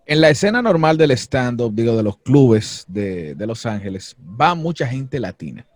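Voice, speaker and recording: A man's voice: medium pitch, sing-song, normal speed, dry recording, clean studio sound. A man hosting an interview, asking playful, probing questions in a casual conversation. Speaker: interviewer host.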